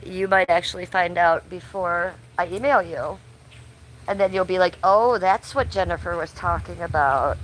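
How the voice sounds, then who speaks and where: A woman's voice: on the low side, moderate pace, a little hiss or hum, poor audio. A woman chatting casually on a livestream from her backyard, sick and losing her voice a little, loose and swearing now and then. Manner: chatty, loose, swears casually, talks to chat viewers by name. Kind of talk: casual livestream chat outdoors. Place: backyard under trees, outdoors.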